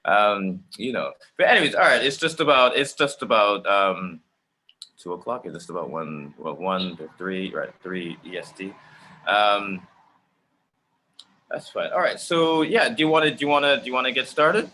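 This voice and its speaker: A man from Jamaica hosting a casual chat on a video call, halting and often repeating his phrases. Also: fairly low pitch, pushed and bright, slight background noise, low-quality recording. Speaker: host.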